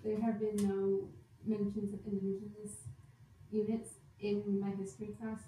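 A woman's voice: medium pitch, monotone, moderate pace, dark tone. A teenage girl from Ontario with a Canadian accent, co-hosting a podcast discussion. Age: teens. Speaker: podcast co-host. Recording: very echoey room, distant mic, faint noise.

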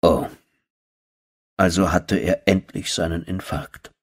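A man narrating a first-person horror audiobook in a brooding, terse, dry manner with a husky voice. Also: deep voice, steady tone, slow pace.

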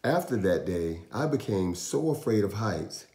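A man in his thirties reading a picture book aloud to young children, with deliberate, dramatic storyteller pauses. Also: fairly low pitch, big pitch swings, mellow. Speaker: children's storytime host and reader. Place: small indoor room in a house. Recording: roomy echo, faint music.

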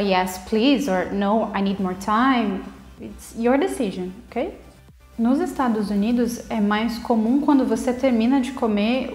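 A woman's voice: fairly high pitch, darkish tone. A young woman with a Brazilian accent giving an informal language lesson to camera, conversational and stressing her example phrases. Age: young adult. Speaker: English teacher, video host. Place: living room at home, indoors. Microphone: lapel mic clipped to top. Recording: roomy indoor echo.

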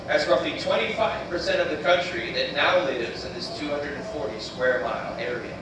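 A man in his thirties from the United States, giving an earnest, measured missionary presentation. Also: fairly high pitch. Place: church sanctuary, voice played on screen video. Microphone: recorded from room speakers by far camera. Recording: roomy, distant, noisy church playback.

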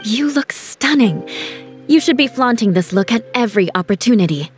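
A woman playing a confident young noblewoman in a video game, speaking in a measured, formal manner. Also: on the high side, lively intonation, soft background music, lightly acted. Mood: admiring.

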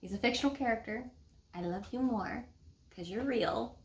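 A woman's voice: fairly high pitch, normal speed. A woman in her thirties chatting casually at home as a book vlog co-host, with a playful, gushing manner. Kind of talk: casual bantering chat. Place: small room at home, indoors.

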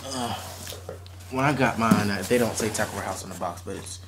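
A young man in his late teens chatting casually and haltingly as he presents items to a camera at home. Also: fairly low pitch. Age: teens to young adult. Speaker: vlogger showing fishing tackle. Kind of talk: casual unscripted unboxing chat. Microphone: camera or phone mic at arm's length. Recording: noisy amateur recording with clicks and rustling.

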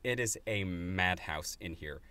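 A man's voice: deep voice, animated, a little slow, pushed and bright, smooth. A man narrating a tabletop game as game master. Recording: music playing behind.

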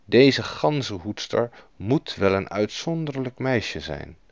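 A kindly, dignified old king from a fairy tale, as a male storyteller puts him on, speaking in measured, thoughtful tones. His voice drops deep below the narration and sounds dry and close. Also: big pitch swings, vocal fry, dry recording, full character voice.

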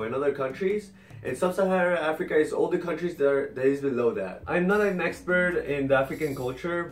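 Young male host, roomy sound. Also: fairly high pitch. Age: 20s. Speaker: host interviewing friends. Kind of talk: casual interview. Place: small indoor room. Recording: roomy, distant mic, music underneath.

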